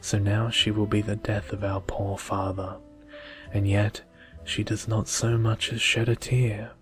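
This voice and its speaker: A man's voice: fairly low pitch, flat delivery, a little slow, fairly bright tone, soft background music. A man as spiteful, haughty storybook sisters. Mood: scornful. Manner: scornful, formal storybook speech.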